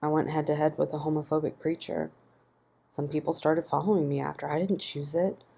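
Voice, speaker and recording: A man's voice: on the high side, flat delivery, fairly quick, creaky, dark tone, husky. A woman voicing a desperate, guilt-ridden man who pleads with choked intensity in a narrated story. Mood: defensive.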